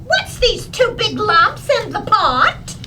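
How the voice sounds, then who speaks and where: A woman's voice: very high-pitched, wildly swooping pitch, takes it slowly, heavy background noise, heavily acted. A woman playing a bratty, nosy little girl in an old-time radio comedy, coy and drawn out in her mock innocence. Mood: coy, mischievous.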